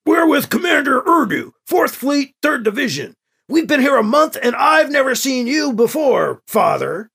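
A man voicing an angry, slow-witted male soldier in an audiobook, loud and demanding. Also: very high-pitched, sing-song, vocal fry, slightly rough, pitched above the performer's own voice, full character voice. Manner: demanding, shouted. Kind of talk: audiobook character acting.

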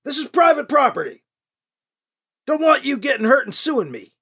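A man voicing a gruff older male landowner with a shotgun, who shouts and sounds stern and suspicious. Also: high voice, sing-song, a little fast, pitched above the performer's own voice, heavily acted. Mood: stern shouting. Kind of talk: audio drama reading.

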